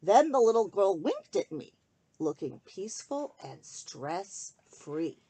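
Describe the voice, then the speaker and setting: A woman reading a rhyming children's story aloud in an expressive, sing-song way, speaking directly to young listeners. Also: medium pitch, slow, husky.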